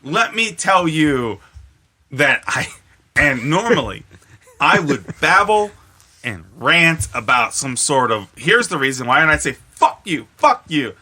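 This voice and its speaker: A man co-hosting a podcast in casual chat, telling rambling, profanity-laced stories in an animated way. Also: on the high side, measured pace, husky. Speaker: podcast co-host.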